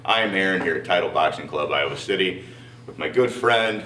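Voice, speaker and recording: A man's voice: low voice, lively intonation, a little fast, bright, forceful tone, slight background noise. A man hosting an interview show, talking in an upbeat, enthusiastic way. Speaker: show host. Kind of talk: casual interview. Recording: roomy distant sound in a gym.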